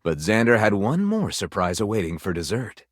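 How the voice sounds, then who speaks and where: A man's voice: lowish voice, very animated, normal speed, dry recording, clean studio sound. A man playing a flamboyant, pompous narrator of pulpy detective romance in a game, delivering it theatrically and tongue-in-cheek. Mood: sultry.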